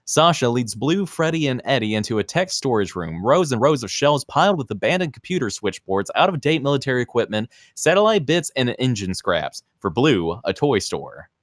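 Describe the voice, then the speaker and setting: A man's voice: medium pitch, big pitch swings, normal speed, few pauses, no echo, studio quality. A man reading scripted screenplay narration in a theatrical, clipped present-tense style. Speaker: stage-direction reader at a table read.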